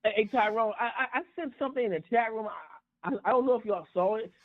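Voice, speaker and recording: A man on a tinny phone line in an impassioned group discussion, emphatic, repeating and restarting his words. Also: sits high. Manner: repetitive, halting restarts, emphatic. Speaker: call-in talk show panelist.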